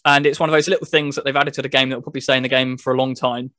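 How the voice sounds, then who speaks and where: A man talks through a video game review as a podcast host in a mid-pitched voice. He explains casually in loose, everyday phrasing, and the sound is dry, with very little room on it. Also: little pitch movement, very fast, sharp tone, dry recording. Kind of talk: casual gaming chat.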